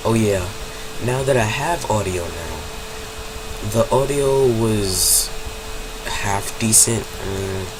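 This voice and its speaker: A man's voice: fairly low pitch, slow pace, pauses often. A young man with a cold, casually commenting on a game he is playing, rambling and correcting himself as he goes. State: has a cold, stuffy nose. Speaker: gaming channel host. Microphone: home desk mic. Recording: constant hum and background noise.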